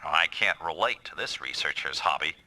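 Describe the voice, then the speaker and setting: An actor playing an authoritative but protective squad commander in an anime-style video game, giving clipped orders. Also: male voice, extremely low pitch, animated, normal speed, very noisy. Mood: uneasy.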